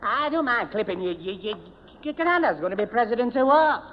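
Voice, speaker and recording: A man's voice: very high-pitched, expressive, a little fast, slight background noise. A dim, put-upon young northern English man, flustered and stammering, repeating himself as he muddles along. He sounds like old radio sitcom, muffled and in a reverberant hall. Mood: grumbling, muddled. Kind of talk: 1970 radio sitcom acting.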